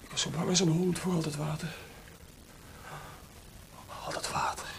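A drunken composer in a radio play, pitched fairly high, bitter and boastful one way, maudlin the next. His delivery is theatrical and rambling. There is noticeable noise on the recording. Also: flat delivery, moderate pace, vocal fry. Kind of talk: Dutch radio drama acting.

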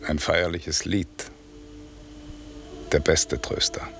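An actor playing a stern, wronged sorcerer lord turning to forgiveness, reciting classical radio drama verse in a solemn, measured, declamatory way. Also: male voice, very deep voice, flat delivery, creaky, over music, full character voice. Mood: solemn, commanding.

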